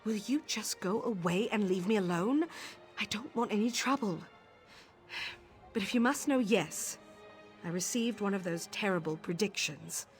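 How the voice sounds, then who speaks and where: A woman's voice: medium pitch, normal speed, soft background music, lightly acted. An actress voicing a nervous, defensive female beggar in a video game. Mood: agitated. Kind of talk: video game character dialogue.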